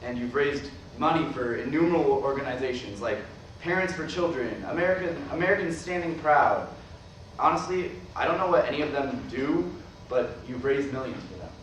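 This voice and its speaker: A man's voice: fairly high pitch, animated, a little fast, natural voice. A man playing a dying, middle-aged former professor, dry and argumentative with his brother, in a staged play reading. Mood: earnest. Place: staged reading filmed in a roomy venue. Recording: echoey room, far mic, noisy.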